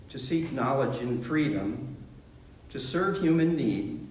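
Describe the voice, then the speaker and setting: Male lay worship associate, unhurried liturgical delivery, heavily reverberant room, distant mic. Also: medium pitch. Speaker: board member and worship associate. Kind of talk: addressing a congregation, reciting liturgy. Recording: very echoey room, distant mic, faint noise.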